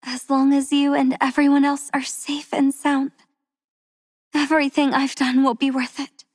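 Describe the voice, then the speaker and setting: A woman voicing a gentle, devoted young healer in a game, speaking slowly and tenderly in a soft, breathy voice. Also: sits high, little pitch movement, no echo, clean studio sound, close to an everyday voice. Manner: slow and tender. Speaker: voice actress for a game character. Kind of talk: game character voice lines.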